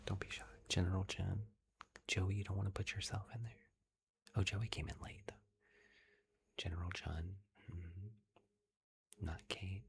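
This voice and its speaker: A livestream host chatting in a soft, giggly ASMR whisper, thanking viewers. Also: low-pitched, steady tone, measured pace, vocal fry, long pauses, slight background noise.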